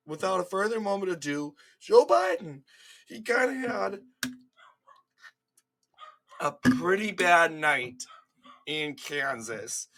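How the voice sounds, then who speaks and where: A man's voice: on the high side, sharp tone. Congested man mocking election takes. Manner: mocking, dismissive, with restarts and stumbles. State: congested, voice cracking from a cold. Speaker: political commentary host. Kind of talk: casual screen-share commentary.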